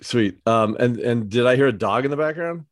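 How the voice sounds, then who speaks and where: A man's voice: medium pitch, lively intonation, moderate pace, dry recording, clean studio sound. A man hosting an interview, chatty and friendly, stumbling over his words and laughing now and then. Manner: chatty, stammering, laughs often. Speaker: interviewer, host. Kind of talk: casual interview.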